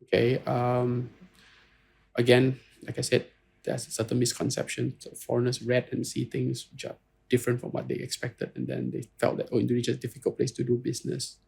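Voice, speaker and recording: Gravelly, husky Singaporean-accented man. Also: medium pitch, completely flat delivery, a little fast, darkish tone. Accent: Singaporean English. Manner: earnest, repeats "you know" and restarts phrases. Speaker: guest lawyer. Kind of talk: conversational interview answers.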